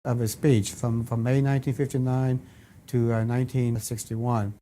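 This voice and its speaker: A man speaking as an archivist in a documentary interview, explaining things in a somewhat halting way. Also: mid-range voice, flat delivery, warm tone.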